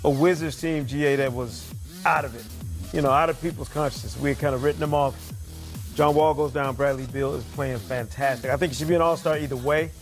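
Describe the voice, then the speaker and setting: A man's voice: medium pitch, steady tone, a little fast, soft background music. A man giving his opinions as a basketball analyst in a conversational studio debate.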